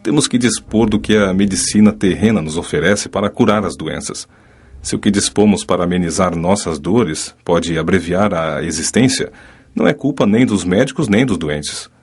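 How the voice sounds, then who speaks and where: A man playing a kindly, wise spirit physician who teaches calmly in a dramatized audiobook. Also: low-pitched, slightly rough. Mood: calm instruction. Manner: calm, didactic, measured.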